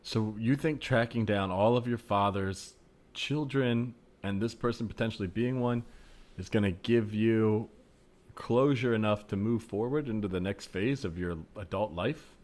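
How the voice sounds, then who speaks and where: A man improvising a level-headed, skeptical straight man in a comedy scene, reasoning in a measured, frank way. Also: lowish voice, darkish tone, natural voice. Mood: patient, probing. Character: level-headed, skeptical man advising his sister's boyfriend.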